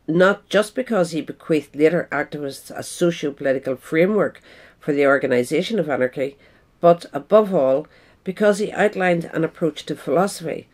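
A woman's voice: low-pitched, darkish tone. A woman reading scripted narration, as in an audio essay. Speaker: reader.

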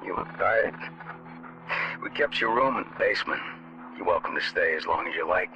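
A man's voice: sits low, normal speed, muffled recording, background noise. An actor playing a fatherly older survivor leader in a video game, blunt, teasing and caring. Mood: gruff concern. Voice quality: gravelly. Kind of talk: video game cutscene acting.

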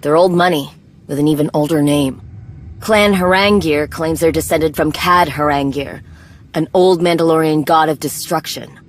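A woman acting a brisk, proud, no-nonsense warrior in a video game, with a blunt, clipped, dry manner. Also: deep voice. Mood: wry, analytical.

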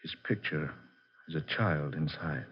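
Deep, husky, uneasy man, vintage radio. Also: unhurried, exaggerated voice. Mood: grave. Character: plain-spoken, uneasy man guiding a visitor. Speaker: radio drama actor. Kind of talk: 1940s radio acting. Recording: dull 1940s radio recording.

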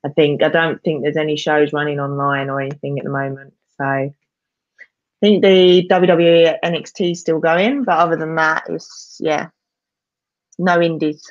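A woman's voice: deep voice, fairly even intonation, normal speed. Young London woman on a lo-fi call. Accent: Southeast London English. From England. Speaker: guest, wrestler. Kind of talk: casual video-call interview. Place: small room indoors. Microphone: video call. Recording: dull, lo-fi video-call audio.

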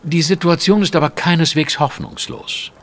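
An actor playing a shrewd, self-assured Victorian detective in an audio drama, explaining things in a precise, assertive way. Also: male voice, a little high, normal speed, fairly bright tone. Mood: brisk, commanding.

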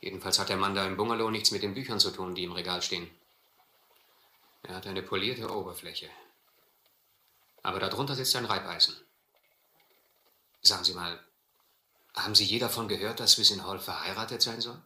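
A suspicious, shrewd sea captain tells and lives an adventure in a radio play. His voice is forceful and sharp-edged, sitting a little low, with a dry, measured delivery in a roomy acoustic. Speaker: actor playing captain and first-person narrator. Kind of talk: German radio adventure drama acting.

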